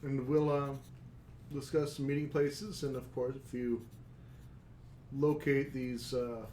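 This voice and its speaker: A man's voice: mid-range voice, level tone, takes it slowly, warm tone, roomy sound, slight background noise, lightly acted. A man voicing a proud female werewolf pack leader, curt and guarded.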